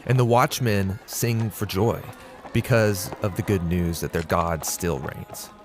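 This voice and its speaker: A man's voice: sits low, a little slow. A man co-hosting an educational video in a casual, plain-spoken, conversational narration style. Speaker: co-host of an educational Bible video series. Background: background music.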